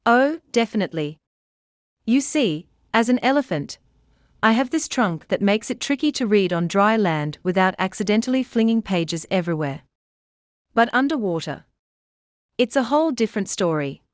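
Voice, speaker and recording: A woman voicing a witty, playful elephant in scripted children's comedy, chatty and teasing with clear wording. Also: mid-range voice, expressive, normal speed, no room sound, clean studio sound, close to an everyday voice. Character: witty, playful talking elephant who gives reading tips.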